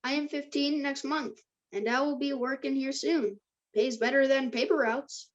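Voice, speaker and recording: Woman reading as a keen young teen boy, talking people into stuff, kinda high voice, muffly lofi sound. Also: fairly even intonation, normal speed, lo-fi sound, lightly acted. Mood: persuasive. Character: eager, persuasive fourteen-year-old boy, Max. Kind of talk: table read of a script.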